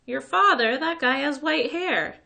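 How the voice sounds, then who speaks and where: A cocky, sneering schoolboy bully, played by a woman reading a storybook. The voice is bright and forceful, full of taunts and scornful disbelief. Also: high voice, lively intonation, measured pace, vocal fry, pitched above the performer's own voice, exaggerated voice. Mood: incredulous. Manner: taunting, incredulous.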